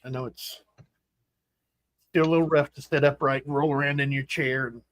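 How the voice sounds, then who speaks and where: A man in his forties hosting a casual livestream chat, rambling and halting with fillers and restarts. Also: mid-range voice, fairly even intonation, fairly bright tone, dry recording. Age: 40s. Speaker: host.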